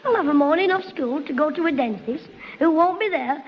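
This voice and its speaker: An actor playing a cheeky, boastful young schoolboy, in 1960s radio comedy. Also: male voice, very high voice, expressive, slight background noise. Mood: scheming. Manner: quick-witted, mischievous, punchline delivery. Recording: dull 1960s radio recording with hall reverb.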